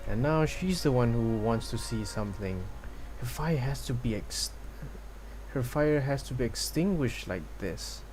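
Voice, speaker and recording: Man, game livestreamer, mid-pitched, very animated, dramatized reading aloud, casual, occasional stumbles, game music behind. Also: unhurried.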